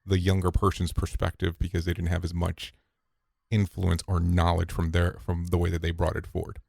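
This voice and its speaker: A man being interviewed on a podcast, telling stories in a relaxed way with restarts and quote-unquote asides. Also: low voice, moderate pace, gravelly, no echo, studio quality. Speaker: podcast guest, safety broadcaster. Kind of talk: conversational interview answers.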